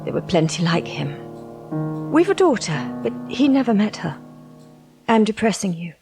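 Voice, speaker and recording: Audio drama: hospitable middle-aged widow and secretary, flattering, talkative, swooping intonation. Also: female voice, mid-range voice, a little slow, music in the background. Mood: subdued candour. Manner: hospitable, effusive.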